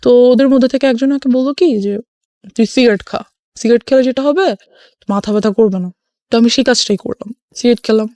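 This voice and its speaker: A woman's voice: on the high side, fairly quick, a little mellow, dry recording, studio quality. A young Bangladeshi woman telling her personal story as a radio interview guest, mixing English phrases into her speech. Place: radio studio.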